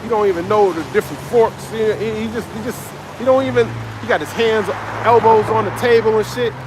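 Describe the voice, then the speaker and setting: A man's voice: sits high, brisk, background noise. A man preaching on a live stream, reading scripture aloud and expounding it in a confrontational, profane way. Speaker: street preacher.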